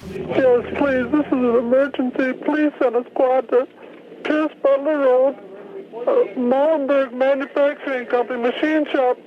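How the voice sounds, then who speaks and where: Distressed male emergency caller, extremely high tearful voice, pleading over a muffled phone recording. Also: fairly even intonation, unhurried, a crowd in the background. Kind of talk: urgent emergency phone call.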